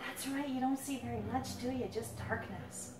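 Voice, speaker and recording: A woman reading her own picture book aloud to a young child, playfully dramatic, drawing out words and pausing to ask questions. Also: high voice. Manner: dramatic build-ups with drawn-out words. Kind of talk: animated storytelling read aloud to a young child. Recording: roomy, noisy, distant handheld recording.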